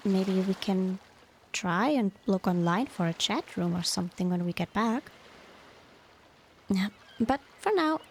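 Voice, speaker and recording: A woman playing a tender, nostalgic former mermaid and devoted wife in a soft, unhurried, half-whispered ASMR roleplay. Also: medium pitch, lively intonation, slight background noise. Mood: wistful, homesick. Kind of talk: ASMR romantic roleplay, softly spoken.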